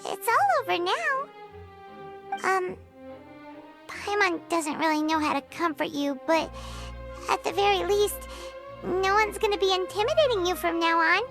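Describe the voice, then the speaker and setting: A tiny floating fairy sidekick, with a very high, bouncy, lively voice that swings up and down as she chatters. She draws her words out slowly and eagerly. Game music plays clearly underneath. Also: heavily acted. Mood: gentle. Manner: talks about herself in third person, eager and bubbly. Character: small floating fairy companion, chirpy and excitable. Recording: game audio with music behind.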